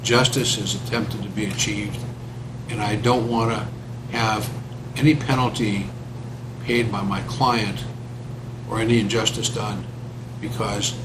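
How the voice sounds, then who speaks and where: A man on a panel giving careful, deliberate advice to an attorney. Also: lowish voice, fairly even intonation, background noise. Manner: joking, then slow and deliberate when advising.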